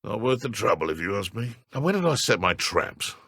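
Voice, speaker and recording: Sneering British dark wizard. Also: male voice, fairly low pitch, sing-song, brisk, gravelly, slightly rough, no room sound, clean studio sound, exaggerated voice. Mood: idle grumbling. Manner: menacing, mocking, smug. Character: sneering, ruthless male dark wizard, thug and poacher. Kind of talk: video game combat and idle voice lines.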